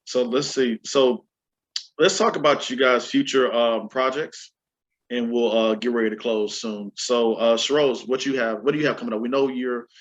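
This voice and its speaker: A man's voice: mid-range voice, fairly even intonation, moderate pace. A man hosting a podcast, chatting casually and encouragingly, often repeating his words, on slightly lo-fi audio. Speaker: podcast host.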